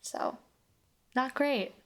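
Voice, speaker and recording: A woman giving measured, improvised answers as a player in a tabletop roleplaying game. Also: slight background noise. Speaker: player on an actual play podcast.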